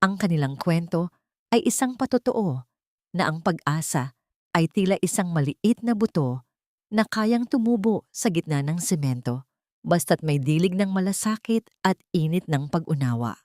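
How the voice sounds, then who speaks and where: Woman narrating drama in a low, dry voice. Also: lively intonation, normal speed, darkish tone, no room sound. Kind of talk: scripted dramatic story narration.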